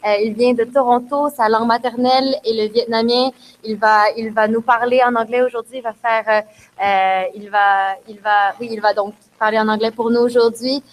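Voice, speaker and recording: Woman host at a conference, lots of ums and restarts, highish voice. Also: fairly even intonation, brisk. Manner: hesitant, many fillers and restarts. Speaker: event host. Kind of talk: bilingual event introduction. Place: conference room.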